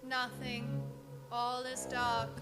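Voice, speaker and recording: A woman's voice: a little high, completely flat delivery, music in the background. A woman playing an entranced, haunted young woman speaking as if from a trance in a radio play. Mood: dreamy, eerie. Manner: trance-like, drawn-out. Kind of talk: old-time radio acting.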